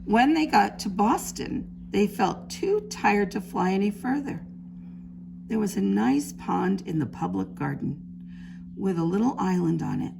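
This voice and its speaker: A woman preschool teacher reading a story aloud to young children in simple, deliberate phrases. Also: mid-range voice, animated, creaky. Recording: faint background noise.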